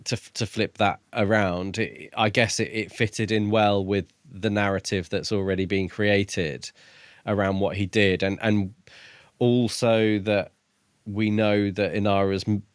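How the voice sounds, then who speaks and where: Male podcast co-host, lowish voice, dry close sound, tentative and questioning in casual book discussion. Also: little pitch movement, a little slow, smooth, no room sound.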